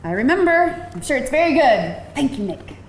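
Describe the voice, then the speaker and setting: A woman's voice: high-pitched, big pitch swings, moderate pace. A woman playing a cool, skeptical, scornful job candidate with a dry, pointed manner at a live table read. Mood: cool, sardonic. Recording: roomy live event, faint noise.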